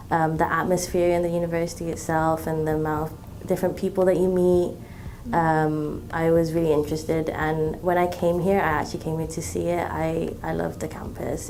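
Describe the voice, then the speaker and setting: A woman in her twenties from Malaysia, a student talking in an interview, relaxed, with many ums and restarts. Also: deep voice. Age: 20s. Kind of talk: interview testimonial.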